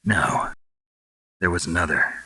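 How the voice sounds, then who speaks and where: An actor playing a gruff, weary war veteran in a video game, stoic and blunt, with a raspy, clipped, deadpan delivery. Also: male voice, sits low, a little slow, dry recording, studio quality, exaggerated voice. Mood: reflective. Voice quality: very raspy, gravelly, husky. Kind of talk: dramatic video game voice acting.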